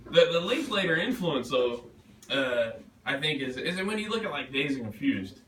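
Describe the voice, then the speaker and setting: A man's voice: a little high, big pitch swings, normal speed, bright, forceful tone. Rambling man in an echoey, noisy room. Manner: rambling, self-deprecating, thinks aloud. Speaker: author at a book reading. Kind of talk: informal question-and-answer talk at a reading. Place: bookstore event room. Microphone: distant room microphone. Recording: echoey room, distant mic, noisy background.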